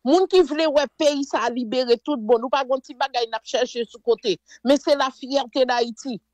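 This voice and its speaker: A Haitian woman talks in a high, bright register with fervent, emphatic delivery, pressing her points hard. Her voice sounds close and dry, with no room around it. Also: moderate pace, no room sound. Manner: fervent, emphatic, mixes French with Creole and English phrases. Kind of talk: impassioned political discussion.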